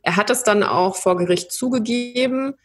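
A young woman from Germany telling her own story in an interview, thoughtful, with many pauses and fillers. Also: on the high side. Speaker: podcast guest. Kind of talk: personal interview.

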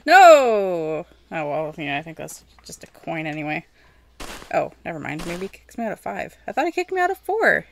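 A woman's voice: fairly low pitch, very animated, normal speed, creaky, a little hiss or hum. A woman giving casual, rambling gameplay commentary, chatty and self-deprecating, talking to the game's characters as she plays. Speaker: gaming video host.

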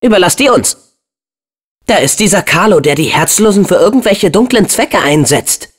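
A young man voicing an upbeat, brave and earnest teenage boy hero in a video game, with an expressive, youthful delivery. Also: high voice, normal speed, husky, full character voice. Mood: confident.

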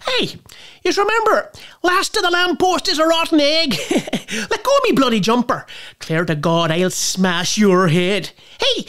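Boozy Irish wanderer, colloquial and nostalgic, stage monologue with animated, wide-ranging pitch. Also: female voice. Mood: boisterous, playful. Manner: wistful, colloquial rambling with bursts of playful mimicry. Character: boozy, nostalgic Irish drinker nicknamed the captain, rambling home. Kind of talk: theatrical dramatic monologue.

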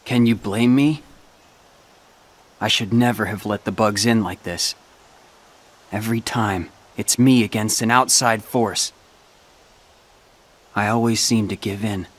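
A man's voice: on the low side, steady tone, measured pace, long pauses. An actor playing a cool, brooding older teenage boy in a video game, with a reserved, serious, understated delivery. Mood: regretful. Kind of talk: English video game voice acting.

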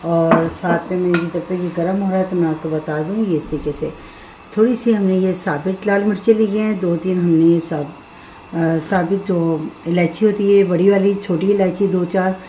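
A woman's voice: fairly low pitch, steady tone, moderate pace, warm tone. A middle-aged woman hosting a home cooking demonstration, giving casual, chatty recipe instructions in a kitchen. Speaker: home cooking show host. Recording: roomy kitchen echo, background noise.

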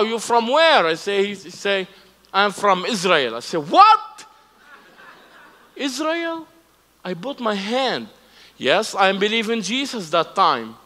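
A man's voice: high-pitched, pushed and bright. A man in his forties with an Arabic accent, telling his testimony from a church stage in broken English and acting out both sides of remembered conversations. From Palestinian, raised in Lebanon. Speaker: guest speaker. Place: church stage with live audience. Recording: reverberant hall.

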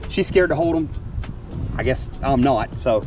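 A man, chatting casually to an action camera while fishing by a lake, excitable and quick to shout. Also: medium pitch, soft background music. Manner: excitable, talks while tying lures, quick bursts of shouting. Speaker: fishing vlogger. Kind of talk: casual outdoor chat to camera. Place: outdoors by a lake.

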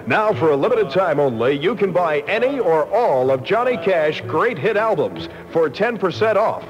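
A high-voiced man reads a 1970 retail radio spot with animated intonation. Music plays clearly behind him on the dull vintage recording. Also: normal speed. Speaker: commercial announcer.

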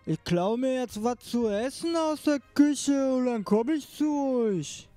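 An actor playing a drunken, boorish grown son in a parody audio drama, rambling and crude with bursts of pomp. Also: male voice, very high-pitched, animated, slow pace, dark tone, dry recording, soft background music, exaggerated voice. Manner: crude, rambling, stumbling over words. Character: drunken, boorish grown son, slurring, sometimes pompously poetic.

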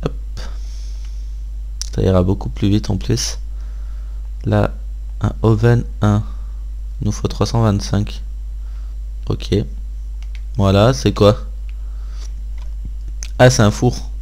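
Low-voiced man doing casual game commentary. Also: fairly even intonation, slow, creaky, pauses often, a little mellow. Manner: informal, thinking aloud. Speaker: gaming video host.

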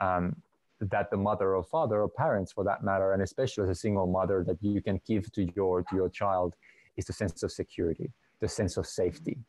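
A man in his thirties who grew up in Finland, a guest talking on a video call at home, halting with many restarts. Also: low voice, fairly even intonation, darkish tone, poor audio. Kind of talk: casual video call chat. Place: home room.

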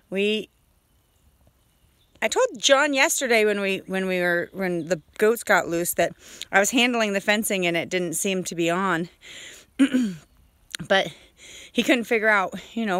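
A woman in her forties giving a casual, halting homestead video diary outdoors, talking into a phone at arm's length. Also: medium pitch, a bit bright, no echo. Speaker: homesteader vlogger. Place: outdoors on a farm. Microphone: phone held at arm's length.

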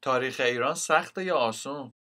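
A mid-pitched, bright voice asks short scripted questions at a very fast clip. The delivery stays crisp and clipped. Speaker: dialogue speaker in a language lesson.

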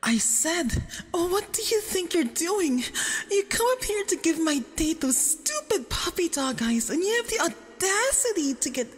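A woman's voice: very high-pitched, big pitch swings, unhurried, full character voice. A woman playing a nervous, jealous, obsessive young femboy boyfriend in an ASMR roleplay, with a flustered, stammering, possessive manner. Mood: jealous, indignant. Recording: long reverb, doubled voice track.

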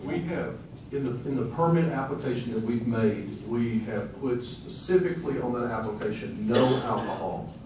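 A man speaks from a podium, laying out zoning rules in a measured, procedural way. He is heard from far off in a large echoey room, with noise around him. The sound comes from a phone in the audience. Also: medium pitch. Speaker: official at the podium. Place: large community meeting room, indoors. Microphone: phone camera in the audience. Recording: echoey hall, distant phone mic.

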